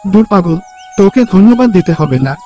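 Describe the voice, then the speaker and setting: A man's voice: high-pitched, lively intonation, brisk, warm tone. A man playing a generous, loyal village friend in a cartoon folk tale, with a reassuring, brotherly manner. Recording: music behind the voice.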